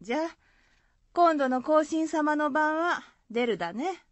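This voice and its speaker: Peasant wife in radio folktale, high swooping voice, rustic and teasing. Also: female voice, animated, measured pace, no echo. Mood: surprised. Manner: rustic, exclamatory country speech. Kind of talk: radio drama acting.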